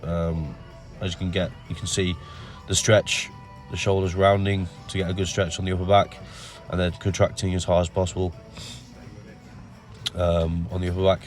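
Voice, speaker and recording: A man with a nasal voice from a cold, explaining his gym workout in a relaxed voice-over full of ums. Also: low voice, normal speed, faint music behind. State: has a cold. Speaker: bodybuilder narrating his workout. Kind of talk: casual voice-over commentary.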